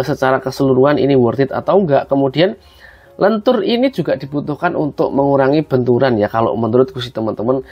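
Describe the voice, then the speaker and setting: A man's voice: mid-range voice, darkish tone, breathy edge. A man with a Javanese-region Indonesian accent gives a casual hands-on tutorial, explaining things to viewers as a product reviewer talking to friends. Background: background music.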